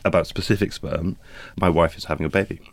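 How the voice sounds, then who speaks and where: A man's voice: deep voice, steady tone, quick pace, gravelly, dry recording. A man co-hosting a podcast, with a dry, joking manner. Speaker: podcast co-host. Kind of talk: podcast discussion. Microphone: studio mic.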